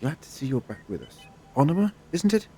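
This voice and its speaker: An actor playing a wry, calm, authoritative adventurer in an audio drama, speaking in a measured, gently probing way. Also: male voice, a little high. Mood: wry, reassuring.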